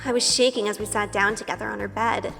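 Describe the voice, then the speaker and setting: A woman's voice: mid-range voice, fairly even intonation, fast talker, creaky, fairly bright tone, big-room sound, soft background music. A woman playing a sheltered, earnest college-age good girl in a first-person audio drama. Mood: wary reflective. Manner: earnest, unsure.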